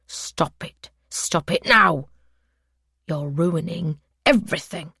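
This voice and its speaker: A woman voicing an obsessive male captor who speaks in soft, breathy whispers. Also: fairly low pitch, animated, measured pace, no room sound, studio quality, exaggerated voice. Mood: agitated, threatening.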